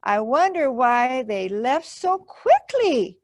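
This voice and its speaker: A woman reading aloud plays a big, food-loving storybook monster. He is proud and very polite, and he takes his words very slowly, sounding close and dry. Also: high voice, big pitch swings, no room sound, heavily acted. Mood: apologetic, puzzled.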